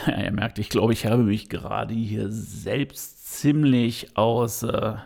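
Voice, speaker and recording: A man's voice: fairly low pitch, takes it slowly, creaky, darkish tone. A man hosting a podcast, giving his opinion unscripted and speaking straight to his listeners. He hesitates a lot, with many fillers and restarts, but stays earnest. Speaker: podcast host.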